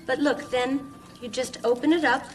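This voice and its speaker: High-voiced, kindly lady played by a woman. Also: steady tone, moderate pace, recorded from a distance, lightly acted, rough recording. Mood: gentle, instructive. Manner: gentle, encouraging, simple short phrases. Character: kindly, encouraging lady of a puppet neighborhood. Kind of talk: children's television acting.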